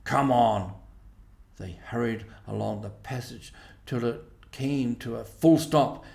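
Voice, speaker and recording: A man narrating a storybook in a measured, expressive way. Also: mid-range voice, creaky, echoey room. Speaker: audiobook reader.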